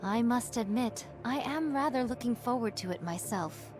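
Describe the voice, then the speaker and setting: A woman's voice: a little high, animated, moderate pace, darkish tone, soft background music, natural voice. An actress playing a wry, refined female warrior with archaic, formal phrasing in an anime game. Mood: amused. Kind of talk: scripted anime game voice acting.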